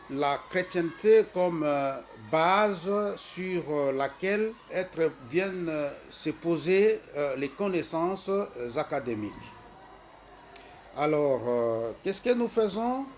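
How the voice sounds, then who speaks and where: Congolese man, middle-aged, slow hesitant speech with a preacher's emphasis, faint noise under the recording. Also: on the high side. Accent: Congolese French. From Congo-Kinshasa. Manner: hesitant, many fillers. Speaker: school representative. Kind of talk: formal address presenting a school.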